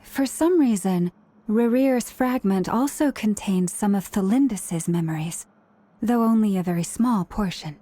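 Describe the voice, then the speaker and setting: A woman's voice: medium pitch, measured pace, warm tone, lightly acted. A woman voicing an earnest young woman in a video game, explaining things sincerely.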